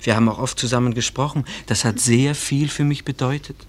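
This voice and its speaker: A man playing an earnest, bashful small-town schoolboy in love, speaking haltingly in a German radio play. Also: medium pitch, steady tone, natural voice. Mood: bashful, sincere. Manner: halting, searching for words.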